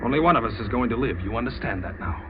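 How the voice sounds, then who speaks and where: A man's voice: medium pitch, lively intonation, very fast, noisy background, close to an everyday voice. An actor playing a hunted, grimly resolute man telling his story intensely in 1940s radio drama. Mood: grim resolve. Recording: muffled old radio broadcast.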